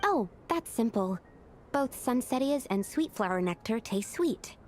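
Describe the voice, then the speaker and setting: A woman voicing a curious young female alchemist in a video game, explaining in a thoughtful, measured way. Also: fairly high pitch, lively intonation, faint music behind.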